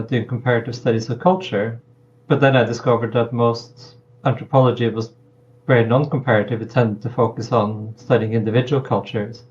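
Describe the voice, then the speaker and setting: Measured Norwegian-born professor, muffled call audio. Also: male voice, mid-range voice, a little mellow. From Norway. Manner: measured, hesitant with frequent ums. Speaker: political science professor, guest. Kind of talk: thoughtful interview answers.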